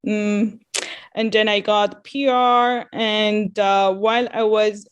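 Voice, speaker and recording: A woman's voice: mid-range voice, little pitch movement, takes it slowly, smooth. A woman in her thirties from Iran, raised in Dubai, speaking earnestly as a guest in an interview about founding her company, often repeating words as she restarts. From Iran, grew up in Dubai. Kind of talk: casual interview.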